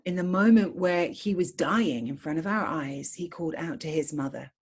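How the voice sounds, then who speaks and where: Low, husky woman speaking fervently. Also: moderate pace, darkish tone. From England. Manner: fervent, speaks with conviction, often repeats words while searching for them. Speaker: podcast guest. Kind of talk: impassioned conversational podcast talk.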